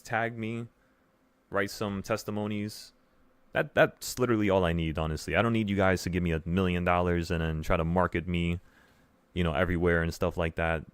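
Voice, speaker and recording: Deep-voiced young man chatting on livestream. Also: no room sound. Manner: earnest, rambling, repeats phrases for emphasis. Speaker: livestream host, options trading teacher. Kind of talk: casual livestream chat to viewers.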